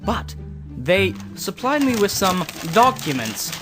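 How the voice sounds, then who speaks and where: Casting: eager, formal male treasure scholar, acted by a man, high-pitched and emphatic, secretive, theatrical comic adventure style. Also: sing-song, takes it slowly, over music, exaggerated voice. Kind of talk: comic audio adventure drama.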